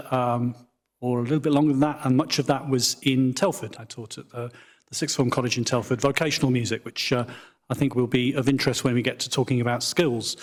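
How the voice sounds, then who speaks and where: A middle-aged man speaking as a candidate at a theatre debate, measured and direct. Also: mid-range voice. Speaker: parliamentary candidate. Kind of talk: candidate's opening statement. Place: theatre with audience. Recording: reverberant theatre.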